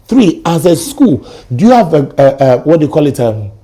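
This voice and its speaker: A middle-aged Ghanaian man with a Ghanaian accent, a guest on a talk show, telling stories in an animated way. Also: fairly high pitch, moderate pace. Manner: animated storyteller, acts out sounds and quotes. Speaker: guest, educator. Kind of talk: studio talk show conversation.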